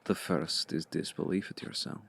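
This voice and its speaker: A seductive, predatory male vampire, acted out by a man for intimate ASMR roleplay. His voice is very low and husky, with a gravelly creak. He coaxes and commands in a hushed, deliberate murmur, patient and sure of his power. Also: little pitch movement, exaggerated voice. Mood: seductive, coaxing. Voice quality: low, breathy, gravelly, often slipping into whisper. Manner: deliberate, commanding, coaxing with long pauses.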